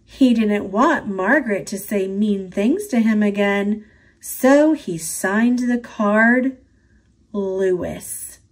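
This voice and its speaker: A woman reading a children's picture book aloud in a gentle, expressive manner. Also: mid-range voice, slow. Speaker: storybook reader.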